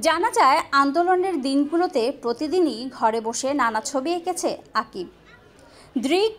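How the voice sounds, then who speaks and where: A woman reading a scripted news narration as a reporter. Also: sits high. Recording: faint music behind voice. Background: faint background music.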